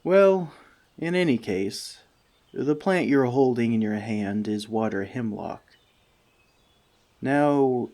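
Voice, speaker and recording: A man playing a friendly forest elf in an ASMR roleplay, talking to the listener with dry, gentle teasing. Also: medium pitch. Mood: wry, cautious.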